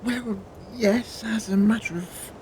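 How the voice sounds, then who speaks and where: An actor playing a learned, eloquent time traveller in an audio drama, with a theatrical, drily humorous manner. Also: male voice, high-pitched, steady tone, takes it slowly, noisy background. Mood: wry, teasing. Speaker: lead actor.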